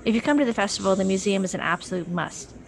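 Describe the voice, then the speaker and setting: A woman giving scripted narration for an audio documentary in an even, measured reading voice. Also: medium pitch, creaky.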